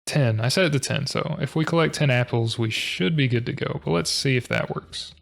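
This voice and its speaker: A monotone man walks through his code aloud, low-key and thinking as he goes. His voice sits at an ordinary pitch with a gravelly, creaky texture. He sounds like a casual coding tutorial host at his desk. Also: a little fast. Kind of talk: casual screen-recorded coding walkthrough.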